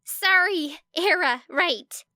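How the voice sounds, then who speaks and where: A woman's voice: very high-pitched, slow pace, pushed and bright, no echo, clean studio sound, pitched above the performer's own voice, full character voice. A woman voicing a bubbly, eager teenage schoolgirl in an audiobook, gushing breathlessly. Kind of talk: audiobook character voice.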